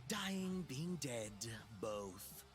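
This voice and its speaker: A man's voice: mid-range voice, big pitch swings, extremely slow. A man voicing a cocky, power-hungry young villain in a video game, smug, dramatic and teasing. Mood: mocking. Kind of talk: video game character voice lines. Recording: game music behind the voice.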